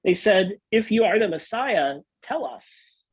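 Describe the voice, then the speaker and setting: Pastor reading scripture dramatically. Husky voice, medium pitch, dry close sound. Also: animated, measured pace, no room sound.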